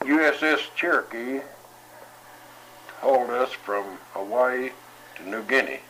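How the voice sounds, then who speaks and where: A man's voice: medium pitch, a little slow, bright, forceful tone, slight background noise, poor audio. An elderly man, a war veteran, reminiscing in a plain, matter-of-fact way for an oral history. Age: 80s or older.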